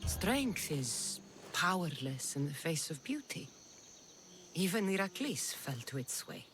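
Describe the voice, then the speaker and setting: Steely Greek warrior woman, widely swinging pitch. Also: very animated, measured pace, a little hiss or hum. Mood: tender, flirtatious. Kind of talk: video game cutscene acting.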